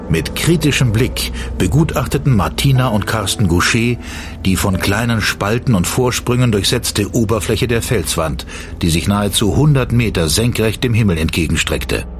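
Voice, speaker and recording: A man narrating a horror radio drama in a measured, ominous way. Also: lowish voice, expressive, faint music behind.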